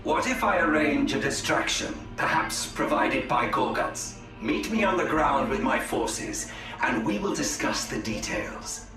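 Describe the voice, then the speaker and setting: An actor playing a cunning Eldar conspirator who speaks in a smooth, persuasive way. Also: male voice, mid-range voice, animated, unhurried, close to an everyday voice. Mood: flattering. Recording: echoey, distant game audio with music.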